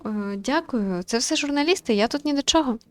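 A woman's voice: high voice, faint music behind, pitched above the performer's own voice. Woman playing a composed, polite young medium. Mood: modest, reflective. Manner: calm, polite, measured.

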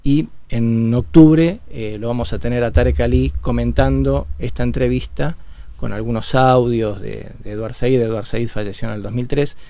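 Mellow-voiced Argentine man explaining calmly. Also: medium pitch, no room sound. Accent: Argentine Rioplatense Spanish. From Argentina, Buenos Aires. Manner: measured, explanatory, pauses with filler sounds. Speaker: guest. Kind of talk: radio interview. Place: radio studio.

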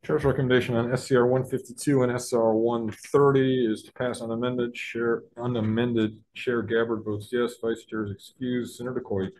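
A man calling the roll and reading vote results in a flat, procedural way at a legislative hearing. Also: mid-range voice, normal speed, few pauses, low-quality recording. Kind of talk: formal roll call.

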